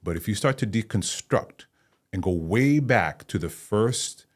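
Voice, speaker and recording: A man's voice: on the low side, expressive, unhurried. A man from Hawaii, a guest actor and playwright, talking thoughtfully in a podcast interview and explaining at length with small restarts.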